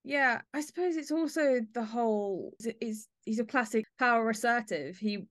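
A woman's voice: on the high side, normal speed, a bit bright, no echo. Woman podcast host, dry close sound. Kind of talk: interview chat about an audio play.

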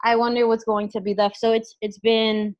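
A woman's voice: fairly high pitch, little pitch movement, normal speed, dull audio. A woman who is a clinical psychologist and life coach, speaking as an interview guest in a thoughtful, casual way, full of fillers and restarts. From New York, USA.

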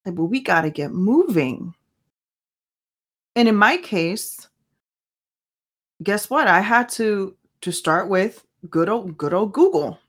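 A woman's voice: medium pitch, animated, slow pace, long pauses. A woman whose first language is Spanish, hosting her own faith podcast in an earnest, conversational monologue that often asks herself questions. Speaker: podcast host.

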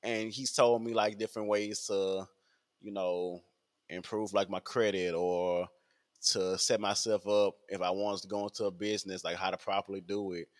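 A man's voice: low-pitched, level tone, normal speed. A man, talking in a rambling casual podcast monologue full of fillers and repeats. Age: 20s.

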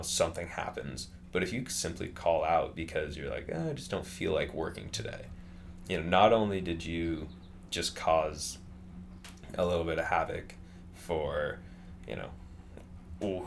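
A man's voice: low-pitched, vocal fry, slight background noise. A man in his twenties from California, talking casually about his work in a rambling way, with many fillers and restarts. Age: 20s. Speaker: interviewee. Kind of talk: casual conversation.

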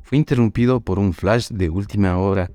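A man's voice: fairly low pitch, normal speed, dark tone. A man reading scripted documentary narration. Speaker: guest narrator.

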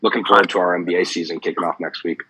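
A man's voice: low-pitched, steady tone, rapid-fire, noisy background. A man speaking casually as a podcast guest, effusive and appreciative. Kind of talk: casual chat.

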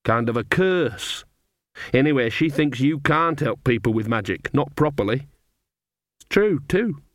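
A man reading an audiobook, voicing an earthy, gossipy old female witch in a slow drawl. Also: mid-range voice, expressive, a bit bright, no room sound, clean studio sound. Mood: drawling gossip. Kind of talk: audiobook character voice.

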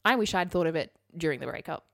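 A woman's voice: low voice, expressive, fast, gravelly, a bit bright. A woman co-hosting a podcast, chatting casually and summarising articles in an informal, explanatory way with wry asides. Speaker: podcast co-host.

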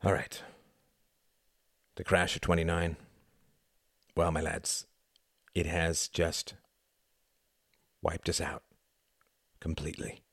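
A man reading as a stern wealthy father delivering bad news gravely. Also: low-pitched, level tone, a bit bright, no echo, clean studio sound, heavily acted. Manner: grave, heavy, measured.

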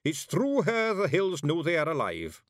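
A man's voice: on the high side, huge pitch swings, moderate pace, dry recording, clean studio sound, pitched above the performer's own voice. A man voicing a small, bold, hot-tempered Scottish fairy warrior leader in a storybook, blustering in broad dialect. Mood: stout, proud.

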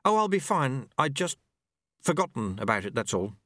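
A man's voice: medium pitch, sing-song, normal speed, bright, forceful tone, dry recording, clean studio sound. A man voicing a dazed, hesitant young apprentice who answers in a vague, faltering way.

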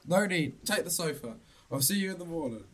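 A man's voice: on the high side, lively intonation, a little fast. A young man acting a cautious, reluctant, hesitant friend in a radio drama. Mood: concerned.

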